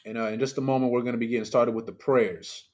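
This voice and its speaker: A man's voice: mid-range voice, steady tone, fast talker, darkish tone. A man preaching an informal, livestreamed sermon alone at his mic. He talks in a loose, conversational way, full of asides and fillers, and keeps putting rhetorical questions to his listeners. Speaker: preacher leading a livestreamed service.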